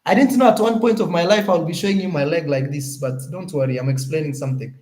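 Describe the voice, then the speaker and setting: A young Kenyan man with a Kenyan accent, talking casually to his viewers as a YouTuber, animated and often searching for words. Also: fairly high pitch, quick pace. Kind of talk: casual vlog talk to camera.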